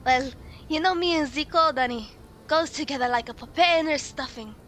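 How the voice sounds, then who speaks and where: A woman's voice: sits high, brisk, fairly bright tone, slight background noise. A woman voicing a chatty, wry lady guest in an amateur puppet show. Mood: chirpy.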